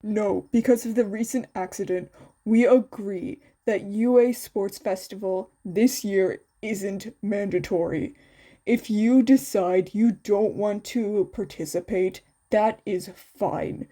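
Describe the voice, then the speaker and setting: A woman voicing a tired, matter-of-fact male high school teacher with a flat, authoritative manner in a story reading. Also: takes it slowly.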